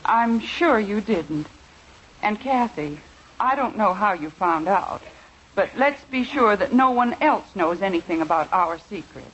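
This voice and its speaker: A woman's voice: mid-range voice, expressive, measured pace, a bit bright, low-quality recording. A woman playing a patient, proper, motherly housewife in a 1950s radio sitcom. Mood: gently coaxing. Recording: old radio broadcast, faint noise.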